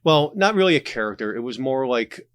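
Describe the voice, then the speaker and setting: A man talking casually as a podcast guest, telling anecdotes in an animated, conversational way. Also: mid-range voice, a little fast, smooth, no echo. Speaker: podcast guest, audio drama creator.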